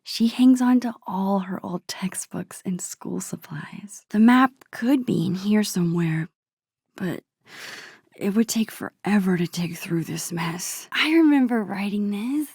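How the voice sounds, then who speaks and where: Game role: thoughtful American teenage girl. Soft, husky-breathy inner monologue with a creaky edge, musing and shy. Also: medium pitch, measured pace, dry recording, studio quality. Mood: musing, nostalgic. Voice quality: breathy, hushed. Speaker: video game voice actress.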